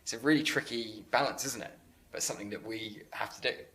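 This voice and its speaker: A man in a conversational interview, speaking earnestly and haltingly, often repeating words as he works through a thought. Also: fairly low pitch, crowd noise, rough recording. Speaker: interviewer and business leader.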